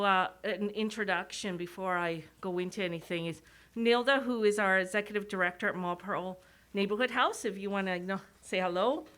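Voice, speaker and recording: A middle-aged Canadian woman gives a public presentation with a voice of medium pitch, speaking through a mask. She is informal and self-deprecating, moving between chat and prepared notes. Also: a bit bright. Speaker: executive director giving a presentation.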